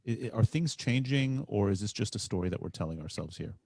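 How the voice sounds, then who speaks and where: A male podcast host with a fairly low voice asks questions, thinking aloud and stumbling over his words. The recording is close and dry. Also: expressive, fast talker, warm tone, breathy edge, dry recording. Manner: stammering restarts, thinking aloud with many "you know"s. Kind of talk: casual interview talk.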